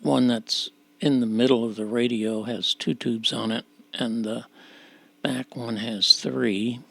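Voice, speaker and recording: Slow, gravelly man explaining repairs. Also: mid-range voice, steady tone, bright, forceful tone, no room sound. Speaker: radio repair presenter. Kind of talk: unscripted repair walkthrough narration.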